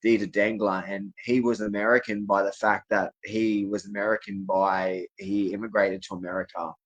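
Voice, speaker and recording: Man, from Australia, low pitch, rambling personal monologue, insistent, repetitive, vlogger addressing viewers. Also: level tone, normal speed, dull audio. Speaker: vlogger speaking to camera.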